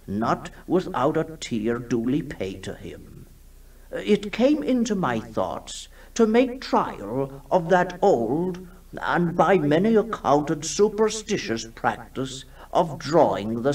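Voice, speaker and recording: Husky, fussy, halting gentleman. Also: a little high, full character voice. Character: scholarly, hesitant old-time gentleman writing a solemn account. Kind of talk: 1960s radio drama acting. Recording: distant 1960s radio recording.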